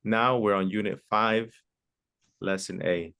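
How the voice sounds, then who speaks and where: A man's voice: lowish voice, steady tone, unhurried, gravelly, sharp tone, clean tone. A man teaching an online English class, patiently repeating key phrases and sometimes explaining in Spanish. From Mexico.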